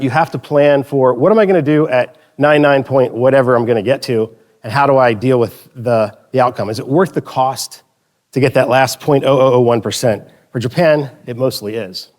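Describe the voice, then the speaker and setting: Guy from the philly area giving a conference talk, average pitch, jokes around and restarts his sentences a lot. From Philadelphia area, United States. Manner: chatty, joking asides, frequent self-corrections. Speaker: conference speaker.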